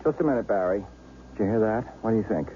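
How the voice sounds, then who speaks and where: A man plays a gentle, easygoing husband in a vintage radio play. His voice is mellow, with a lively rise and fall, and it comes through a dull, muffled broadcast sound. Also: lowish voice, fast talker, slight background noise, natural voice. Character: gentle middle-aged husband, dog lover. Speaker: narrator and husband. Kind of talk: 1970s radio drama acting.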